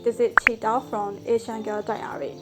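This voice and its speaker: A woman's voice: fairly high pitch, flat delivery, moderate pace, noisy background. Burmese-accented woman teaching in a vlog. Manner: earnest, explanatory, with filler "like" and "so". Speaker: language learning vlogger. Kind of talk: scripted vlog lesson.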